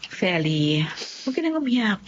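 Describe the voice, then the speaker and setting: A woman's voice: medium pitch, unhurried, a little hiss or hum. A woman with a Filipino accent playing an encouraging middle-aged former classmate in a radio drama. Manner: animated, encouraging. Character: supportive, upbeat Filipina former classmate visiting from America. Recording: dull lo-fi radio drama audio.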